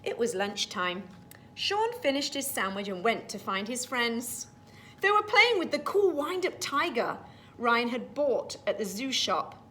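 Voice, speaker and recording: A woman reads aloud to young children as their teacher, pausing for simple explanations. Her voice is fairly high and swings widely in pitch, at an unhurried pace, over faint background rumble. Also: very animated, a bit bright. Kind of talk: storybook read-aloud with explanatory asides.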